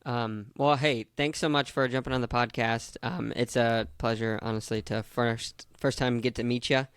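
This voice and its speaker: A young man hosts a podcast in a relaxed, encouraging way and drops plenty of short affirmations. His voice is smooth and resonant but fairly level in tone. The recording is dry and close. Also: medium pitch, normal speed, dry recording. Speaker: podcast host. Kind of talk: casual interview chat.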